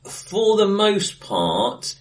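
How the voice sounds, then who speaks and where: A man co-hosting a casual film discussion, hesitant and full of restarts, a few drinks in, recorded face to face in an acoustically bright room. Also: sits high, huge pitch swings, drawn-out words, rough recording. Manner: hesitant, many ums and restarts, laughs often. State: a few pints in. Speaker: podcast co-host.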